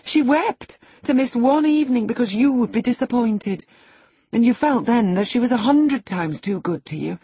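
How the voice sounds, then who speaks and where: A woman's voice: low voice, breathy edge, no echo. A woman voicing an indignant, loyal elderly woman servant who scolds with emphatic feeling, in an audiobook.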